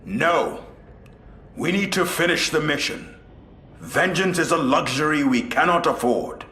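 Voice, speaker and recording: Forceful, sober warrior adviser. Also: male voice, fairly high pitch, lively intonation, measured pace, roomy sound, in a large hall. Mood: stern, uneasy. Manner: sober, firm. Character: stern, disciplined male space marine adviser. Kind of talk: dramatic game cutscene acting.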